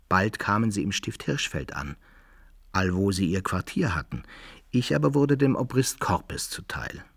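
Radio drama narrator: wry rogue recounting misfortunes; gravelly, dry close sound, expressive. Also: male voice, mid-range voice, dry recording, heavily acted. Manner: expressive, storyteller's phrasing. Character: wry male adventurer recounting his own misfortunes.

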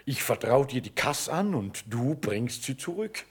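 A man acting in a radio drama as a naive, simple-hearted young soldier, guileless and excitable. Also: medium pitch, a little slow, husky. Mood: pleased musing.

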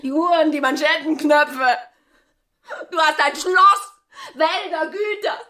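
An actress playing a desperate, passionate married woman in a German radio play, with intense delivery and a breathy voice. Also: sits high, fairly even intonation, slow, pushed and bright, exaggerated voice. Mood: bitter, pleading outburst. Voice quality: breathy, often whispering. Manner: intense, dramatic.

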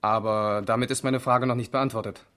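A man's voice: mid-range voice, steady tone, fairly quick, a bit bright, lightly acted. A man acting in a 1970s German radio crime drama as a controlled police lieutenant who questions formally and insistently. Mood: pointed.

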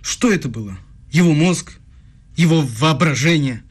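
A bitter man from a radio drama, pitched a little high. He accuses in pointed, insistent phrases, pressing each point rhetorically. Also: lively intonation, a little slow, breathy edge. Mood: incredulous. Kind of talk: radio play acting.

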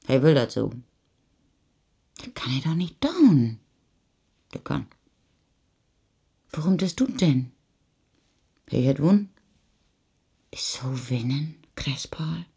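A brooding radio play narrator with a dark, gravelly voice. The phrases are terse and murmured, dipping into a dialect. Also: medium pitch, heavily acted. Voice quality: husky, gravelly.

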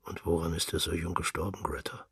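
A man voicing a jealous, wounded husband with a husky, breathy voice, subdued and coldly ironic in a radio drama. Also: low-pitched, little pitch movement, fairly quick, a bit bright, no room sound, exaggerated voice. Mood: humbled, sad.

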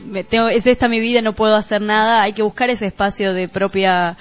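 Female co-host, Rioplatense accent, average pitch, conversational, on a muffled radio recording. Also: steady tone, a little fast. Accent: Rioplatense Argentine Spanish. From Argentina. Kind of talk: casual radio discussion. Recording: dull lo-fi radio recording, music behind. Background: music.

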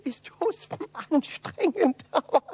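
Children's radio play kobold: small, cheerful, cheeky, very lively melody, unhurried, dry muffled vintage sound. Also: female voice, sits high, no room sound. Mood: cheerful, relieved. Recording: dull vintage radio recording.

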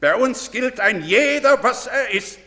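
An actor playing a pompous, self-important, bigoted middle-aged village doctor, declaiming in a theatrical stage manner. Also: male voice, very high-pitched, animated, a little slow, bright, forceful tone, heavily acted. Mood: boastful. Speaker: stage actor in a broadcast drama. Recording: reverberant theatre stage recording.

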